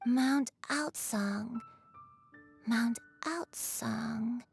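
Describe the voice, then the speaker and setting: A dazed, forgetful old granny. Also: female voice, a little high, faint music behind. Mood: confused. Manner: halting, dazed, slow. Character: confused old grandmother who lost her memories, fragile.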